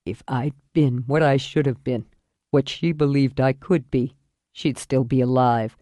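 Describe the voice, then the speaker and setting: A woman voicing a weary, regretful, wryly tough dying father in an audiobook thriller, with weak, halting delivery. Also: a bass voice, steady tone, warm tone, dry recording, voice pushed down. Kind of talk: audiobook thriller character acting.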